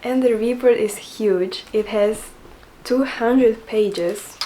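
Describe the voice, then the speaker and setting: A young woman with a soft, quiet voice talking casually in a chatty vlog, earnest and a little hesitant. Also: a little high, takes it slowly, slight background noise, low-quality recording. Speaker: vlogger.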